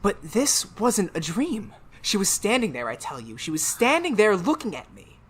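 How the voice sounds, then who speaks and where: A woman playing a tormented, haunted man in a 1930s-style radio horror drama, speaking melodramatically with halting, emphatic repetitions. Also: medium pitch, big pitch swings, husky. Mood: frantic.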